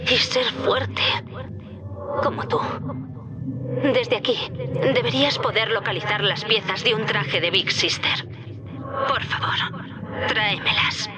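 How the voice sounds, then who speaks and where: A woman playing an earnest, devoted young daughter in a video game, speaking Castilian Spanish in an intimate, solemn, at times breathy way. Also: medium pitch, slow, pauses often, noisy background, close to an everyday voice. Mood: pleading, determined. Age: young adult. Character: earnest, devoted young captive daughter, gentle yet resolute. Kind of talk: dramatic video game voice acting.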